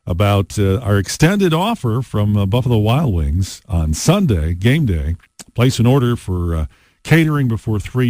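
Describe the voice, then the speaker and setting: A man's voice: mid-range voice, darkish tone, no echo, studio quality. An American man hosting a rock radio show, talking in casual, conversational DJ patter with playful asides. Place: radio studio. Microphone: close studio broadcast mic.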